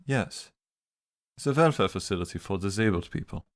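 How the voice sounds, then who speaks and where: Man acting a grave, very low-voiced fatalist. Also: animated, normal speed. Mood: polite, composed. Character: grave, fatalistic man of 1888 London slums. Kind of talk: radio drama acting.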